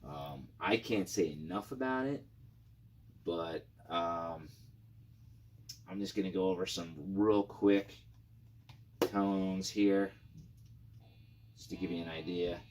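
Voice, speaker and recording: A man's voice: low voice, slow pace, pauses often, a little mellow, a little hiss or hum, low-quality recording. A man reviewing guitar gear in a casual, informal and rambling product demo style with some enthusiasm.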